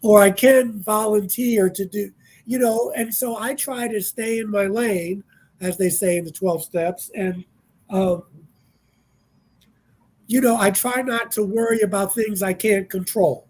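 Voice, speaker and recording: A man's voice: high-pitched, fairly even intonation, a little slow, a little mellow, low-quality recording. A man in his sixties, a panel guest speaking in a reflective, easygoing way on a casual radio talk show. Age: 60s.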